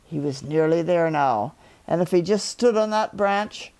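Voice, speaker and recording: An elderly woman reading a children's story aloud in an expressive, deliberate way, as a storyteller in her living room. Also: on the low side. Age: 70s or older.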